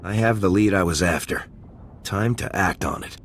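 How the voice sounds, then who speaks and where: A man's voice: sits low, moderate pace, a little hiss or hum, close to an everyday voice. An actor playing a serious male squad commander who speaks tersely and bluntly. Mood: stern. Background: music.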